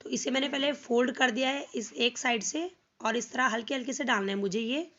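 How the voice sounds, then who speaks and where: A young woman with a North Indian accent and a hoarse voice from a cold, casually explaining a household chore step by step for her home vlog. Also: a little high, a little fast, fairly bright tone, highs cut off. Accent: Hindi-speaking North Indian. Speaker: home vlogger. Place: home, by a washing machine outdoors.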